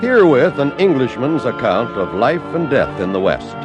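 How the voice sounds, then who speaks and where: An actor playing a dramatic announcer, declaiming the introduction to a fifties radio western. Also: male voice, mid-range voice, sing-song, normal speed, loud music behind the voice, lightly acted.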